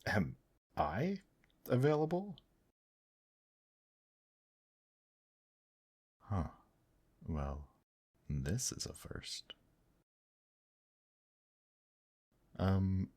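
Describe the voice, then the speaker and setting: Man playing a very deep, slow, suave demon host. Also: wildly swooping pitch, darkish tone, breathy edge, full character voice. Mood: flustered, then composed. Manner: slow, courtly, teasing, with pet names like dear. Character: suave male demon host of a monster brothel, gently teasing. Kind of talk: intimate ASMR roleplay, speaking to the listener.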